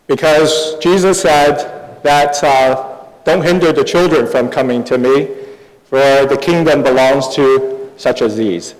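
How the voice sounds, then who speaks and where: A middle-aged man from Taiwan who grew up overseas, preaching a sermon in short phrases with pauses for an interpreter. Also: mid-range voice. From born in Taiwan, grew up overseas. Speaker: guest preacher. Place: large reverberant church hall. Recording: echoey hall with long reverb.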